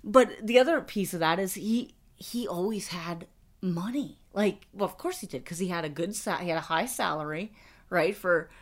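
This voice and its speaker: A woman's voice: fairly low pitch, moderate pace. A middle-aged woman co-hosting a podcast, chatting casually, laughing often and restarting her sentences. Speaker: podcast co-host. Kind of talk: casual chat.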